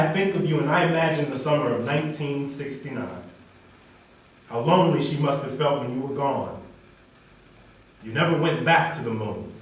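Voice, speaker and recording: Very echoey, distant man reciting at a wedding. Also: fairly high pitch. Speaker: wedding reader introducing and performing poetry. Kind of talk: spoken introduction and poetry recitation. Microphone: distant from speaker. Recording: echoey room, distant mic, faint noise.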